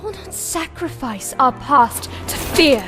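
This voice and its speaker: High, defiant young noblewoman warrior. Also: lively intonation, takes it slowly. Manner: firm, earnest. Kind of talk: dramatic fantasy game acting. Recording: music behind the voice.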